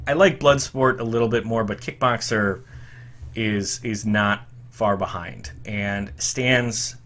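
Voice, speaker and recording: A man in his thirties hosts a retro music podcast from his home room. His tone is bright and forceful, and he talks like an eager, emphatic fan. He sits at a desk mic. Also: fairly low pitch, slow pace. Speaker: podcast host. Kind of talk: enthusiastic retro music commentary.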